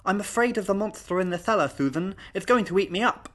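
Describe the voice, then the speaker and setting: A man's voice: high-pitched, fast, fairly bright tone, pitched above the performer's own voice. A man voicing a small, earnest girl who talks in a cute, matter-of-fact way in a storybook reading. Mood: cute, fearful.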